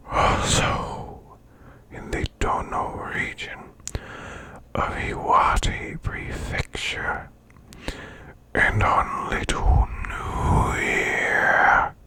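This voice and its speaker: A man voicing a male demon boss in an ASMR bedtime reading, with a breathy, rasping, growled whisper and slow, deliberate storytelling. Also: rumbling low, sharp tone, full character voice. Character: male demon boss, growling while lulling listener to sleep.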